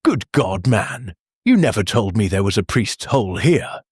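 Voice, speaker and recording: A male narrator puts on a gruff military colonel for an audiobook. He blusters bluntly in a husky voice that leaps about in pitch, recorded dry. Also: big pitch swings, moderate pace, a little mellow, dry recording. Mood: indignant. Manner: barking, blunt, indignant.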